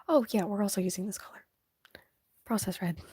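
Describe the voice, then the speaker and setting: A young woman chatting casually on a live stream while she draws, soft and half-whispered, sniffly, her voice going hoarse. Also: medium pitch, a little fast, dry recording, studio quality. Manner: soft, often half-whispered, hesitant and rambling.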